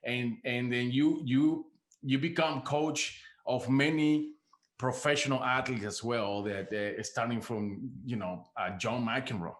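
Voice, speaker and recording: A man from Venezuela hosts a podcast interview, speaking with a Venezuelan Spanish accent. He talks with enthusiasm, repeats words as he goes and laughs often, at a middling pitch. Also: measured pace. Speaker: podcast host. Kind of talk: casual interview.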